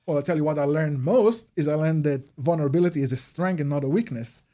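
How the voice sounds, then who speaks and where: A man's voice: fairly high pitch, fast, warm tone. Israeli-accented man explaining his craft. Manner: earnest, explanatory, non-native phrasing. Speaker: guest, advertising and PR agency head. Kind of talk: radio interview answers.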